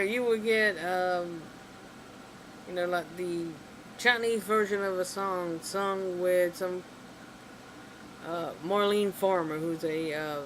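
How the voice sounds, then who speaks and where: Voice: medium pitch, fairly bright tone, slight background noise, low-quality recording. A vlogger ranting casually to camera, rambling and exasperated, with lots of "you know" and "like" fillers. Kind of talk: casual opinion rant to camera.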